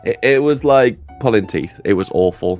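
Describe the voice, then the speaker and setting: Podcast co-host, man in his thirties, low voice, relaxed self-deprecating chat, faint music under. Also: moderate pace. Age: 30s.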